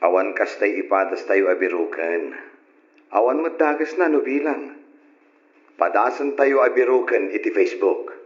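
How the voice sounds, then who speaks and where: A man playing a young, level-headed older brother in an old radio drama, speaking calmly and practically. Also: fairly low pitch, moderate pace, close to an everyday voice. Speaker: son. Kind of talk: 1940s-style radio drama acting. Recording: echoey, dull old radio recording.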